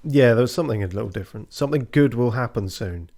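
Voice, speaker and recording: A man's voice: mid-range voice, quick pace. A man hosting a gaming video in casual, chatty commentary, his voice sometimes breaking. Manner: relaxed, chatty, reads viewer comments aloud. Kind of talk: casual let's-play commentary.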